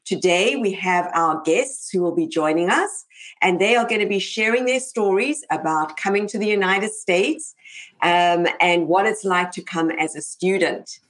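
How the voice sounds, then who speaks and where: A woman's voice: medium pitch, moderate pace. A woman hosting an interview show, introducing topics and putting questions to guests. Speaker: host.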